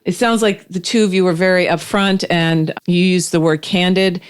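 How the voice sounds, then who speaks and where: A middle-aged woman hosts an interview, asking thoughtful questions and feeling her way through them. Her voice is smooth and clear, set a little low. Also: steady tone, moderate pace. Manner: thoughtful, searching for words while asking. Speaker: podcast host, interviewer.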